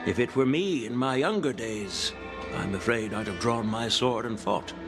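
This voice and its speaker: Video game general, mature male actor, courteous, self-effacing, formal delivery over clear background music. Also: mid-range voice, expressive, moderate pace, lightly acted. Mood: courteous, reflective.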